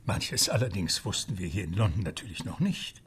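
An actor playing a genteel, wry butler who narrates a radio play and makes asides to the listener. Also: male voice, low-pitched, animated, a little fast. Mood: wry, courteous. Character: James, a genteel English butler telling the tale.

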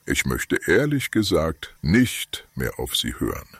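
Haughty butler, rock-bottom pitch, husky rasp, formal, dryly defiant, swooping intonation, radio comedy. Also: male voice, very animated, unhurried, a bit bright, no room sound, exaggerated voice. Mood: coolly defiant. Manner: formal, deferential wording with dry defiance. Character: stiff, haughty, insubordinate male butler. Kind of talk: amateur comedy radio play acting.

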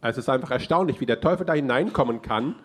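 Man, Austrian accent, sermon interpreter, mid-range pitch, relaxed, frequent filler sounds. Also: fast, slightly rough.

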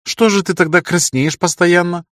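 A man voicing a lively young woman who is bold, direct and playful, as an audiobook character. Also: sits high, normal speed, dry recording, studio quality, voice pushed up. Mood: teasing. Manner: playful, expressive.

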